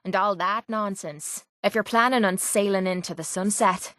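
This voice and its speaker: A woman's voice: medium pitch, moderate pace, a bit bright, no echo, close to an everyday voice. An actress voicing a tough, foul-mouthed young Irish woman, a bitter and wounded fighter in a video game, with a brash, blunt manner. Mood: wry, casual. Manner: brash, blunt, swearing, slangy. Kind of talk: video game character voice acting.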